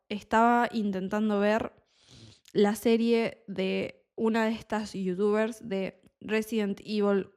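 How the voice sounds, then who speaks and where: A young woman with a Buenos Aires Spanish accent, a Spanish tutor talking in a slow, clear teaching monologue and explaining words as she goes. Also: mid-range voice, a little mellow. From Argentina.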